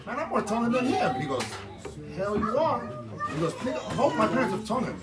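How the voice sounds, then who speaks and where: A man's voice: sits high, big pitch swings, a little fast, a loud crowd. A man in a casual group chat, telling stories in a rambling way and circling back to repeat and rephrase his questions. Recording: music behind the voice. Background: music.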